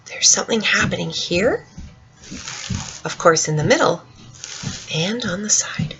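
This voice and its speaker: A woman reads a children's storybook aloud in an expressive, dramatic way, speaking directly to young listeners, with a husky voice. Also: mid-range voice, measured pace, highs cut off. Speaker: storyteller reading a picture book to children.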